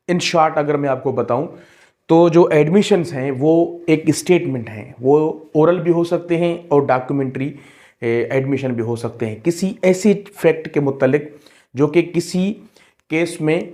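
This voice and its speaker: Slow, deliberate male law lecturer. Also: fairly high pitch, a little mellow. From Pakistan. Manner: switches into slow, deliberate English when reading legal text; measured, explanatory, repeats key terms. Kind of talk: exam-prep law lecture.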